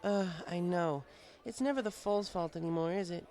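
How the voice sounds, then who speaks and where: A man's voice: high voice, normal speed, darkish tone, voice pushed up. A man voicing a weary, complaining female pony schoolteacher in a storybook reading.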